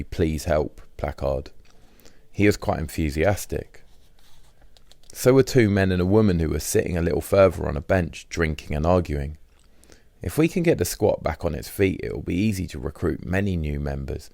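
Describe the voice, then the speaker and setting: A man's voice: a bass voice, gravelly, dark tone, dry recording. A man reading a first-person diary as scripted audiobook narration.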